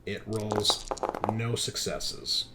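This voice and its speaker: A man running a tabletop roleplaying game as game master, narrating action casually with animated, drawn-out dramatic emphasis. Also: on the low side, rough recording. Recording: music behind the voice.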